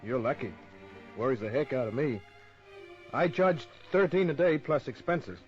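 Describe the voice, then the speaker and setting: An actor playing a dry, world-weary private detective in an old radio crime drama, narrating and wisecracking. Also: male voice, mid-range voice, animated, normal speed, lightly acted. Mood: wry, businesslike. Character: hard-boiled, wisecracking male private detective. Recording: muffled old radio recording with music.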